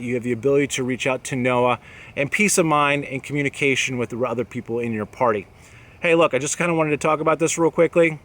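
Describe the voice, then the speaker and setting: A man's voice: mid-range voice, a bit bright. A man talking casually and plainly to camera as host of an outdoor gear channel, recorded outdoors. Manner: plain-spoken, conversational. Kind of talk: casual product talk to camera. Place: outdoors among apartment buildings and trees. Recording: noticeable background noise, outdoor ambience.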